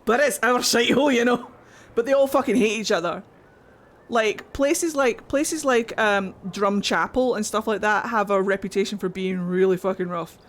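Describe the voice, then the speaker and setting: A woman's voice: mid-range voice, normal speed. A young woman from Glasgow chatting casually on a gaming stream, swearing freely and laughing often as she rambles. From Glasgow, Scotland. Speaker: game streamer. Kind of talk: casual livestream chat while gaming.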